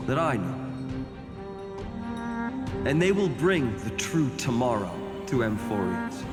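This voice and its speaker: A man's voice: mid-range voice, sing-song, slow. A man voicing a hopeful, earnest young companion in a video game. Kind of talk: dramatic video game voice acting. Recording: long echoing reverb under loud music.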